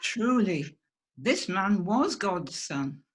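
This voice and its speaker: Slow, husky awestruck centurion played by a man. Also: sits high, sing-song, a bit bright, heavily acted. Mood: awed. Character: awestruck, terrified Roman centurion. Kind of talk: amateur dramatic scripture reading. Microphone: video call.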